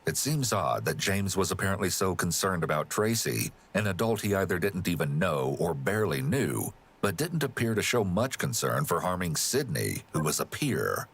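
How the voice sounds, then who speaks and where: A man reading scripted true-crime narration in a measured, serious manner. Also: low-pitched, sing-song, a bit bright, husky.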